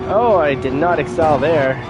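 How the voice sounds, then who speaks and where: A man with a slightly high voice, stuffy and sore-throated, talks his way through an online race, reacting aloud to every pass and bump and poking fun at his own driving. Loud game music plays behind him the whole time. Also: normal speed, low-quality recording. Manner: chatty, self-deprecating, reacts aloud to the race. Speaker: streamer racing with subscribers. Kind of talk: live gameplay commentary.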